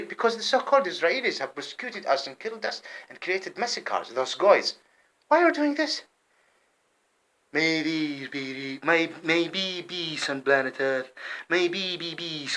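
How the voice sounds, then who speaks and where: Heated, lively-voiced man ranting. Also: moderate pace. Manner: heated, repetitive, swings between loud outbursts and low muttering. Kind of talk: impassioned monologue to camera.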